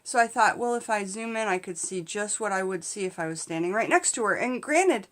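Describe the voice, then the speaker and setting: A middle-aged woman telling a casual comedic story to camera in an animated, candid, conversational way. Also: medium pitch, fairly quick. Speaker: vlog host and storyteller. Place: small indoor space in front of a curtain.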